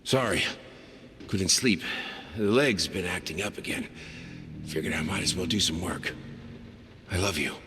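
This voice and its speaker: A man's voice: deep voice, a little slow, pauses often, a bit bright. A man voicing an exhausted husband in a dramatic horror game, tired and terse. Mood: apologetic. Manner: tired, terse, clipped phrases. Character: exhausted, apologetic husband working through the night. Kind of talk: dramatic video game voice acting. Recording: noisy recording with background ambience.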